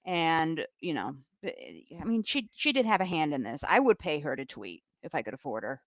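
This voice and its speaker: A slightly muffled, lo-fi recording of a mid-pitched voice in casual podcast commentary. The sound is very dry. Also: very animated, normal speed, no room sound. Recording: dull, lo-fi sound.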